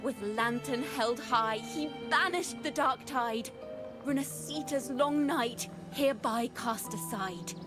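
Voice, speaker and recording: A young female bard in a fantasy game. Her voice is fairly high and unhurried, with a lyrical, dramatic storyteller's lilt. Music plays clearly behind her. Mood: lyrical, grand. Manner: lilting, dramatic, poetic delivery. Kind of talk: video game voice acting, storytelling.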